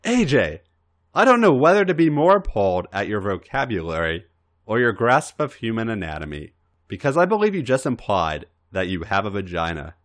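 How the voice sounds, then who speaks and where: A man's voice: lowish voice, big pitch swings, moderate pace. Male reader as smug controlling lover in story: wry, articulate, teasing, strongly varied intonation. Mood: incredulous.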